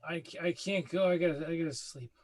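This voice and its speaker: A man's voice: fairly high pitch, a little fast, lightly acted. An actor playing a sleepy, reluctant man woken by a phone call, in a sitcom table read over a video call. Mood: groggy, reluctant.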